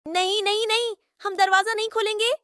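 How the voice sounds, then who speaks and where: Squeaky, defiant little pig. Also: female voice, little pitch movement, brisk, bright, forceful tone, no room sound, studio quality. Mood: scared, defiant. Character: frightened but defiant little pig in a children's cartoon tale.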